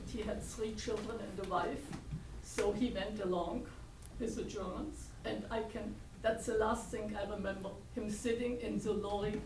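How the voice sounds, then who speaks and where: An elderly woman in her eighties from Hungary, telling a memory in a plain, steady manner. Her voice is picked up from a distance in an echoey room with clear background noise. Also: medium pitch. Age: 80s. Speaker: audience member. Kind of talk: telling a personal memory from the floor.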